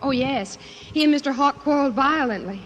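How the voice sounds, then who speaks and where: An actress in a 1950s radio drama playing an excitable secretary testifying as a witness. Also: female voice, high voice, moderate pace, natural voice. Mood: agitated, earnest. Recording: echoing hall, dull noisy old radio.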